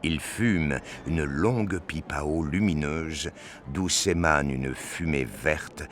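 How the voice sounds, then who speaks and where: A man's voice: extremely low pitch, slow pace, a bit bright, a little hiss or hum. A man reading scripted narration for a science fiction audio drama.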